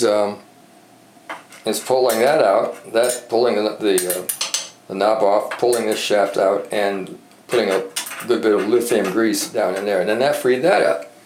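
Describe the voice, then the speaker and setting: Male hobbyist presenter, lower register, off-the-cuff and hesitant delivery, roomy sound with faint background noise. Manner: rambling, halting, thinks aloud. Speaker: hobbyist presenter demonstrating a vintage radio repair. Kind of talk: casual unscripted show-and-tell. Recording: echoey room with background noise.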